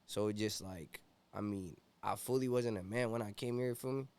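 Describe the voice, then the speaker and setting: A man's voice: fairly low pitch, brisk, darkish tone, smooth. A young man from Ethiopia, a rapper guest in a casual interview, talking laid-back and slangy with constant "you feel me" fillers.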